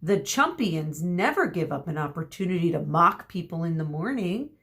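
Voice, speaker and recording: A woman's voice: fairly low pitch, lively intonation, normal speed, fairly bright tone. A woman voicing a puzzled, earnest young centaur boy in a children's storybook.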